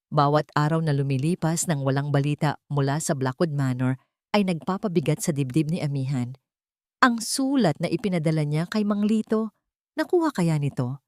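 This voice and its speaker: A Filipino woman narrates a scripted romantic drama. Her voice sits on the low side for a woman, and the sound is dry, with hardly any room around it. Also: no room sound. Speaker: story narrator.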